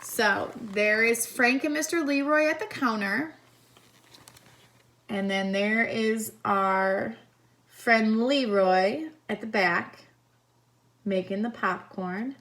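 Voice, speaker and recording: A woman reads a children's book aloud at an unhurried pace. Her voice is bright and a little high. She speaks deliberately, as a storytime reader talking young listeners through the pictures.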